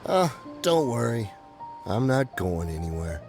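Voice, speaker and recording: A man's voice: fairly low pitch, very animated, a little slow. A man voicing a shifty, nervous smuggler in a video game, wheedling and pleading his way through a haggle. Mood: grudging, placating. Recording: faint music behind.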